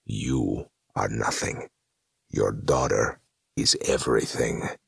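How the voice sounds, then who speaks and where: A man voicing a sinister male demon who feeds on despair, speaking slowly and scornfully in a whispery voice. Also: extremely low pitch, fairly even intonation, gravelly, husky, no echo, studio quality, heavily acted. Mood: menacing. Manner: slow, cold and scornful.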